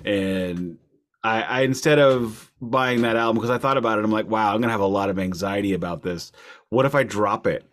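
A man hosting a podcast, chatting casually about music in a wry way, leaning deliberately on key words. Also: fairly low pitch, fairly bright tone. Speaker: podcast host.

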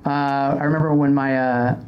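Male podcast host, medium pitch, chatty and wry with a sarcastic edge. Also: steady tone, a little fast, highs cut off, a little hiss or hum. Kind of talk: casual chat.

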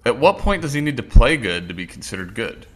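A man's voice: medium pitch, big pitch swings, fairly quick, a bit bright. A man co-hosting a casual sports podcast, opinionated and rambling with restarts. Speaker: podcast co-host.

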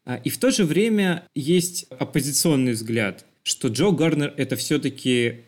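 A man's voice: on the high side, lively intonation, normal speed. A man co-hosting a podcast, discussing a film casually in a reflective, explanatory way with asides to the listeners. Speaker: podcast co-host.

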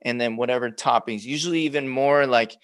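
A man's voice: medium pitch, fairly quick, no room sound. A man from Los Angeles hosting a casual interview, asking rambling, restarting questions with enthusiasm. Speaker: host.

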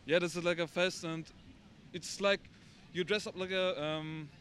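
Halting Austrian man with German accent. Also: sits high, fairly even intonation, fairly bright tone, slight background noise. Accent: German-speaking Austrian. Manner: halting, searching for English words. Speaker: interviewee on the street. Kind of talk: casual street interview. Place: outdoors on a city street.

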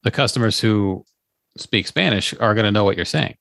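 A man's voice: lowish voice, moderate pace, vocal fry, fairly bright tone, no echo. A man co-hosting a podcast, teasing his partner with dry humor and many questions. His first language is Farsi. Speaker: podcast co-host. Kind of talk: casual chat.

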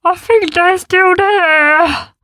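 A woman voicing big horned beasts who are nervous and easily scared, in a high anxious voice. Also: very slow, bright, forceful tone, heavily acted. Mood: fearful. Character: timid big furry horned beasts, jumpy and fearful.

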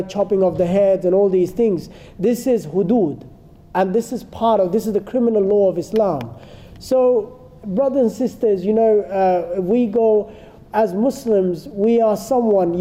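A man giving an earnest religious lecture to a congregation, explaining points step by step and dropping in Arabic phrases. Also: high-pitched. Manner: calls himself soft-spoken but firm today. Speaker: Islamic teacher.

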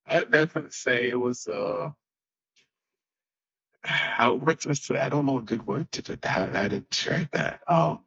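A man from Haiti in his twenties, a guest musician speaking haltingly and searching for words in a casual interview. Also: medium pitch, vocal fry, a bit bright, slightly rough. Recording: dull lo-fi sound.

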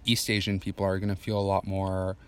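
A young man in casual podcast chat, blunt and quick to laugh, swearing freely. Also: deep voice, monotone, clear, resonant tone. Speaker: podcast co-host.

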